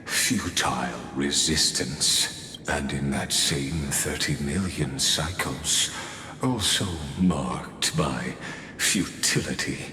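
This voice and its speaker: Ominous game mastermind, extremely low voice, very slow ceremonious oratory, theatrical, cold, hall reverb. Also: male voice, sharp tone, slight background noise, full character voice. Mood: solemn, ceremonious. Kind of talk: dramatic video game voice acting. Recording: echoey hall reverb under music.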